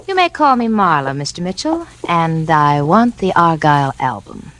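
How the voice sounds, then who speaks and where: An actress playing a mature, shrewd femme fatale in a 1940s radio drama, sweet-voiced, poised, teasing and coolly menacing. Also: female voice, low-pitched, big pitch swings, normal speed, a bit bright. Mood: cool seduction. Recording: 1940s radio broadcast recording.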